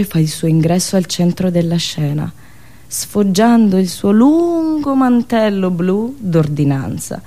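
A woman's voice: on the low side, warm tone. A woman with a central Italian accent telling a satirical story as a theatrical, ironic radio monologue. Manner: theatrical, ironic, with dialect asides.

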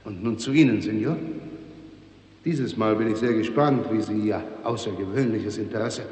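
A man's voice: lowish voice, normal speed, darkish tone, lightly acted. An actor playing a mockingly polite, commanding male interrogator in a 1960s German radio drama. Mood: coolly menacing. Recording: echoey reverberant hall.

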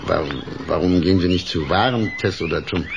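An actor playing a shabby, nervous scientist in a radio play, grasping and wary, stammering and repeating his first words in a gravelly voice. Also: sits low, a little fast. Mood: wary, hesitant. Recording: noisy scene ambience behind.

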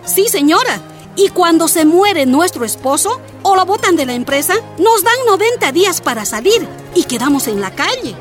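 An actress playing a defiant, plain-spoken miner's wife and union activist in a radio drama, speaking with emphatic indignation. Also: female voice, extremely high pitch. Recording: music and crowd behind.

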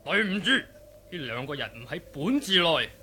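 A man's voice: a little high, sing-song, normal speed, sharp tone, exaggerated voice. A man with a Cantonese accent playing a haughty, stern Shaolin monk, formal and disdainful, in a martial arts radio drama. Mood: cold, formal. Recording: clear background noise.

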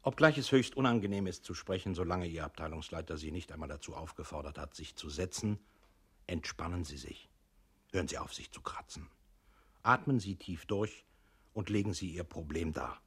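Low, husky male instructor. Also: normal speed, a bit bright. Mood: matter-of-fact. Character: impersonal narrator dictating the listener's steps. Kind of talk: scripted procedural narration in a radio play.

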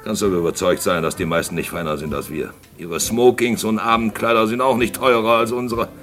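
Man acting a brash shady wheeler-dealer, low voice, cynical, boastful, post-war radio play, guitar music behind. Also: steady tone, fairly quick, natural voice. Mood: defiant, mocking. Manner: cynical, boastful, loud in company.

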